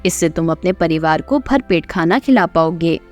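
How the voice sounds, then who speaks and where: A woman narrator voices a generous village friend in a storybook tale, calm and reassuring as he offers advice. Faint music plays underneath. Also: on the low side, moderate pace, lightly acted.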